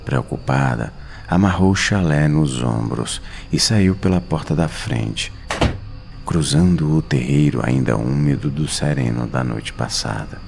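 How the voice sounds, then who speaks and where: A Brazilian man with an extremely low voice tells a horror tale at an unhurried pace. His delivery is suspenseful and dramatic, with weighted pauses, and music plays behind him. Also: a little mellow. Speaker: horror story narrator. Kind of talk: dramatic scripted horror narration. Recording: narration over clear background music.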